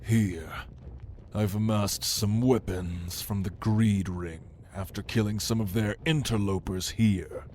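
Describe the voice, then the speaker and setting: A man's voice: lowish voice, fairly even intonation, darkish tone, slight background noise. A man playing a proud, commanding demon lord in an ASMR roleplay, speaking in a theatrical, menacing manner to the listener. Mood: grim, instructive. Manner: slow, imperious, theatrical, menacing.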